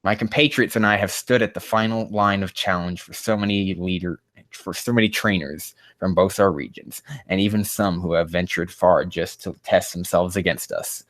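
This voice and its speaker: A man's voice: low-pitched, moderate pace, fairly bright tone, no echo. A man hosting a sarcastic reaction reading of fan fiction, with a profane, mocking manner prone to exasperation. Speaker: host.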